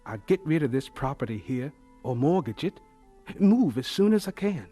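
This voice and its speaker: An actor playing an earnest, dreamy young homesteader in a radio drama, with a wistful, folksy, heartfelt way of talking. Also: male voice, mid-range voice, sing-song, moderate pace, mellow, breathy edge, soft background music. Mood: resigned, reflective. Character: earnest, dreamy young homesteader, later recalling his life.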